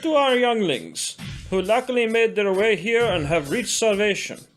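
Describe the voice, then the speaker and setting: A man's voice: high voice, big pitch swings, moderate pace, fairly bright tone, faint music behind, voice pushed up. A man voicing a sing-song vampire leader. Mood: mocking. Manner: clipped broken English, sing-song friendly menace. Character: cheerful menacing male vampire leader, broken English. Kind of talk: audiobook character voice.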